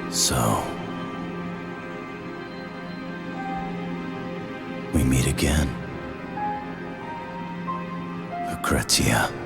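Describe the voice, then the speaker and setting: An actor playing a brooding, guarded gunslinger hero in a video game, terse and subdued, with a gravelly low voice. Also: male voice, unhurried, heavily acted. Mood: sorrowful, subdued. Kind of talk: dramatic video game cutscene acting. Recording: loud music over the voice.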